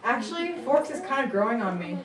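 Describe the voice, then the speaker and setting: A woman's voice: fairly high pitch, sing-song, quick pace. A woman playing a bashful, love-struck teenage girl, reading hesitantly in an amateur dramatic script reading. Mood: bashful, evasive. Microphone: far camera. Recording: echoey, very noisy room, far off.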